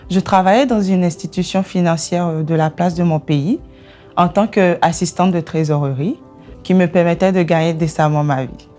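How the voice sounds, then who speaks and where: A woman's voice: fairly low pitch, mellow. A woman from Benin with a Beninese accent, giving personal testimony in an interview. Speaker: documentary interviewee, business analyst.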